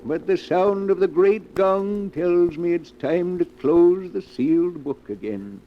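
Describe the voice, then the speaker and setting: An actor playing an eerie, sardonic keeper of a book of tales, theatrical and ominous, in 1940s radio horror style. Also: male voice, high-pitched, slow pace, slight background noise. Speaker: host of a mystery anthology. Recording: muffled old 1940s radio recording.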